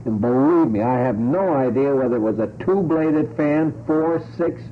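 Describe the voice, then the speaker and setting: A man's voice: a little high, normal speed, narrow, tinny audio, a little hiss or hum. A man hosting a radio panel talk show, talking in a digressive way and putting pointed questions on an old broadcast.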